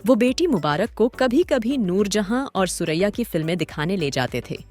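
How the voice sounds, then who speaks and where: A woman's voice: mid-range voice, big pitch swings, normal speed, a little mellow. A woman hosting and narrating a scripted documentary story in a dramatic, literary storytelling manner. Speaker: host and narrator. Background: background music.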